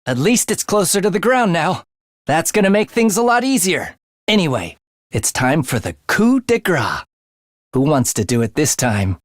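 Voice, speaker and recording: A man's voice: on the high side, big pitch swings, moderate pace, no room sound, clean studio sound. An actor playing a playful, morbid young male assassin in a video game, with breezy, teasing speech that turns theatrical. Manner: breezy, teasing slang turning breathy and theatrical. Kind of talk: English video game voice acting.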